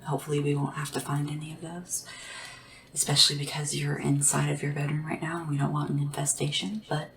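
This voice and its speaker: A woman speaking softly and whispering in an ASMR roleplay as a polite, hesitant, deferential sleep assistant to royalty. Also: deep voice, level tone, fast talker, distant mic. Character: nervous, devoted royal sleep assistant to a dragon ruler.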